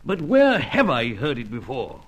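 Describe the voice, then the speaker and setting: An actor playing a genteel, blustery older British spymaster with an upper-class accent, plummy and fussy, in a radio serial. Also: male voice, mid-range voice, huge pitch swings, measured pace. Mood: pondering. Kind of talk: old-time radio serial acting.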